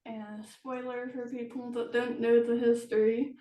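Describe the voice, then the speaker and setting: An echoey, distant recording of a woman in casual conversation. Her voice is fairly high and she speaks slowly and haltingly, letting thoughts trail off unfinished. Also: little pitch movement, phone-quality sound. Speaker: author and interview host. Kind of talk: casual chat. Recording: echoey room, distant from mic.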